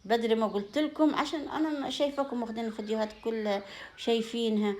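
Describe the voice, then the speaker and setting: A woman's voice: on the high side, fairly even intonation, rapid-fire, a little mellow. A woman with an Upper Egyptian Sa'idi accent chatting casually to her viewers in a home vlog, in a folksy way full of blessings and endearments. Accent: Upper Egyptian (Sa'idi) Arabic. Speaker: home vlogger.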